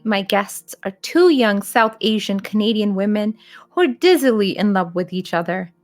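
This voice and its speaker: Earnest woman giving a scripted show intro. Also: mid-range voice. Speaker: show host.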